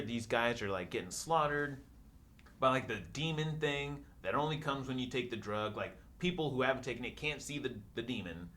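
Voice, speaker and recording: A man's voice: mid-range voice, sharp tone. A man in a casual movie discussion, retelling plots in a rambling, informal way full of filler "likes". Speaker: podcast panelist.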